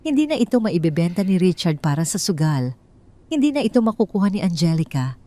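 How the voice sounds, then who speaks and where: A woman's voice: lowish voice, sing-song, brisk, mellow. A woman narrating a scripted audio drama story in a dramatic storytelling style.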